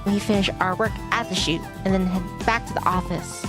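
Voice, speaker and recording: A woman reads a visual novel aloud as a streamer, in a mid-pitched voice. Her narration is expressive as it moves between story text and dialogue. Prominent music plays behind her. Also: a little fast. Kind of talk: playthrough narration, reading game text aloud.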